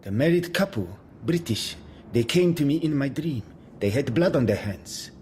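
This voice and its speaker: A man's voice: mid-range voice, lively intonation, moderate pace, a little mellow, a little hiss or hum, natural voice. A man playing a solemn, cryptic, intense neighbour in an audio drama, speaking in grave clipped pronouncements. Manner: grave, declarative, clipped phrasing.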